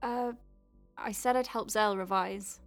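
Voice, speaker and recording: A woman's voice: mid-range voice, steady tone, a little slow, dry recording, faint music behind, close to an everyday voice. A woman playing a friendly, wry doctor at a space clinic in an audio drama, speaking in a hesitant, conversational way. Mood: awkward, evasive. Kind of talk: naturalistic audio drama acting.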